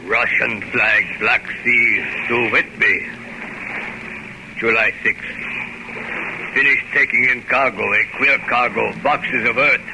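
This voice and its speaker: An actor playing a grim foreign sea captain reading a ship's log in clipped, halting phrases, in 1930s radio drama style. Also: male voice, medium pitch, fairly even intonation, vocal fry. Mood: grim, weary. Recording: noisy old 1930s radio recording.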